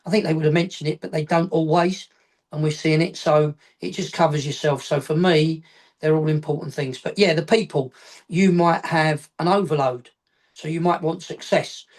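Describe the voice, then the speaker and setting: A man's voice: on the high side, steady tone, moderate pace. A middle-aged man talks through coaching ideas in a rambling, explanatory way, circling back to add one more point. He is heard over a video call from his home office. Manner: rambling, repeats points, adds afterthoughts. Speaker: football coach educator, guest. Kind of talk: casual explanatory chat.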